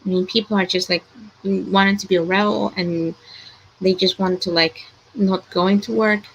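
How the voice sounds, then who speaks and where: A woman's voice: mid-range voice, fairly even intonation, moderate pace, a bit bright, slight background noise, poor audio. A woman from Ecuador, hosting a casual live chat in a worried, hesitant way, sighing often.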